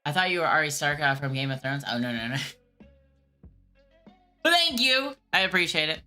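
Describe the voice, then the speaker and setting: A woman streamer who does scam baiting, answering viewer questions in a halting, earnest way with frequent restarts. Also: low-pitched, sing-song, bright, forceful tone, faint music behind.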